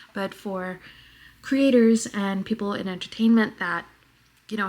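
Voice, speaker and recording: A woman in her twenties from New York with a very soft voice, talking reflectively to the camera in a casual vlog at home. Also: medium pitch. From New York, United States. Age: 20s. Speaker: vlogger.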